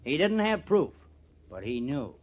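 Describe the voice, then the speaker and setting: A man's voice: a little high, big pitch swings, a little slow. An actor playing a cunning, bitter artist who frames his rival, with theatrical, taunting intensity, in old-time radio drama style. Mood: smug, scheming. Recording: dull, lo-fi old radio recording.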